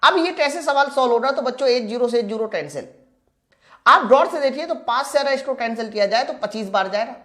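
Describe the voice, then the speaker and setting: A man's voice: very high-pitched, expressive, fast talker, sharp tone. A man teaching an exam-prep lesson, asking and answering his own questions as he addresses students directly. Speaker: physics teacher. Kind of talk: exam-prep lesson, working through problems aloud.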